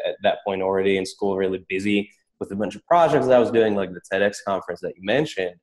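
Guy being interviewed, really low voice, casual and thoughtful, roomy echo. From Czech Republic. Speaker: interview guest, startup founder. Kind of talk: casual, reflective interview talk. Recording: echoey room.

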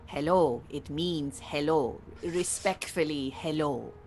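An actress playing an exacting Iranian refugee mentor in an audio play, speaking formally and precisely with dry, bossy authority. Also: female voice, sits low, takes it slowly, darkish tone, soft background music. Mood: dry, disapproving. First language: Farsi. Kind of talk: audio theatre acting.

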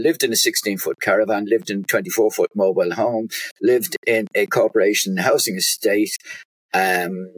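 A man's voice: lowish voice, dry recording, low-quality recording. An older man with a Dublin accent, a doctor telling his story as a guest in an interview, stopping and restarting often. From Ireland. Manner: halting, with frequent restarts and repeated words.

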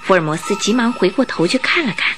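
A woman narrating a Mandarin radio drama as an expressive, scripted storyteller. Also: mid-range voice, fast talker. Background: music.

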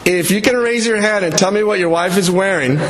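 A pastor born in El Salvador hosting a church marriage event, joking with the audience in a halting way full of fillers. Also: male voice, sits high, noisy background. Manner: jokey, halting, lots of uh fillers. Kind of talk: playful emcee at a church event. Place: large hall with an audience. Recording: echoey hall, loud crowd.

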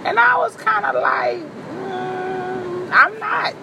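A woman with a deep voice giving a blunt, profane, boisterous vlog monologue, recorded in a car. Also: animated, takes it slowly, creaky, background noise. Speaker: vlogger. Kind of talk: candid monologue to camera.

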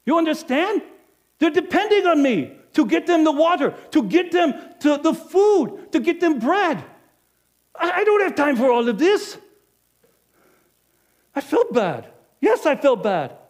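A man playing a businesslike village innkeeper in an animated first-person monologue, emphatic and speaking straight to the audience. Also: fairly high pitch, normal speed, pauses often. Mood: guilty. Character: businesslike male village innkeeper, proud then guilty.

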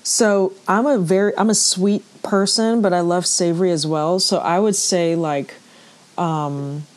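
A woman's voice: fairly low pitch, steady tone, unhurried. A woman from Trinidad and Tobago with a Trinidadian accent, a podcast guest chatting casually with slangy, sassy asides. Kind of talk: casual chat.

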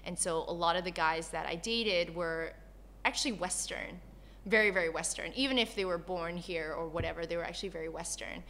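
A woman born in Malaysia who grew up in Canada, talking candidly and casually as an interview guest in an animated storytelling way. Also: lowish voice, brisk. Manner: animated storyteller, acts out quoted dialogue.

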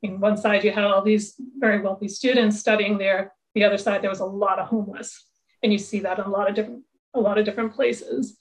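A woman's voice: mid-range voice, level tone, fast talker, poor audio. A middle-aged woman talking as an interview guest, speaking earnestly and often restarting her sentences. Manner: earnest, with many fillers and restarts. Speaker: interview guest, tech executive. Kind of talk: casual interview chat.

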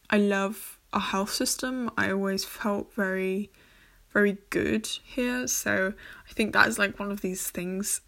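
A woman's voice: medium pitch, creaky. A woman from Germany hosting a casual solo podcast, a bit nervous, talking in a hesitant, rambling way with many fillers and restarts. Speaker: podcast host.

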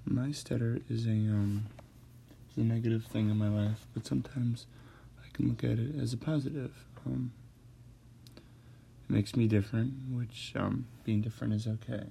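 A man who stutters, talking hesitantly with many pauses and fillers as he hosts a personal monologue. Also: mid-range voice, steady tone, creaky, mellow, a little hiss or hum. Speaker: host.

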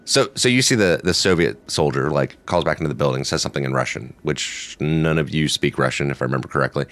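A man's voice: very deep voice, fast, fairly bright tone. A man narrating as game master in a loose, rambling way.

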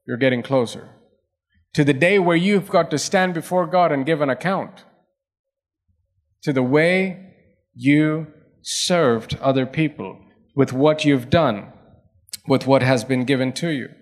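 A man's voice: a little high, a little slow, long pauses. A male pastor preaching a sermon, pressing his points through repetition and rising emphasis.

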